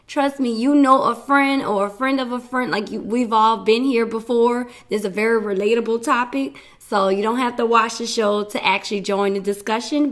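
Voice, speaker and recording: Woman, podcast host, rambling casual chat, slightly high voice, hoarse. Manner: chatty and rambling, laughing often. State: losing her voice. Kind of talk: casual solo podcast talk.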